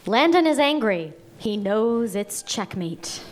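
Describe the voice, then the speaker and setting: Slow-paced woman narrating a script. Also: fairly high pitch, very animated. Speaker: narrator reading stage directions. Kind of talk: scripted narration at a live table read. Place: live table read in a venue.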